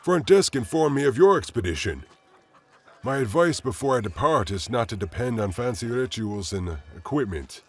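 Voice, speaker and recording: An actor playing a confident veteran crusader in a medieval fantasy audio drama, speaking formally like a mentor. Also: male voice, medium pitch, lively intonation, moderate pace, lightly acted. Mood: instructive, hearty. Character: veteran crusader, confident, advising younger fighters.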